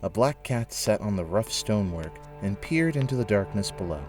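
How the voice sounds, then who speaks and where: A man narrating a scripted audio drama in a measured storytelling manner. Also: on the low side, expressive. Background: music.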